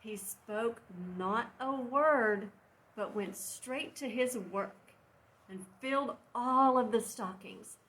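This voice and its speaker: A woman reading a children's story aloud in an expressive, theatrical way, with deliberate phrasing and pauses. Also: fairly high pitch, low-quality recording.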